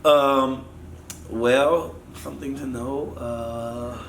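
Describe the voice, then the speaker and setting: Animated man in an echoey studio. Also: medium pitch, slow pace. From Miami, Florida. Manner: animated, gestures while talking. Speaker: football offensive coordinator. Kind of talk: casual interview. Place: studio set with sponsor backdrop. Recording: echoey room with faint noise.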